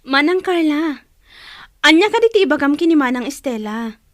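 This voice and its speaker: Lisa, high-voiced, respectful younger woman. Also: lively intonation, normal speed, dry recording, clean studio sound. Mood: careful, concerned. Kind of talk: Ilocano radio drama acting.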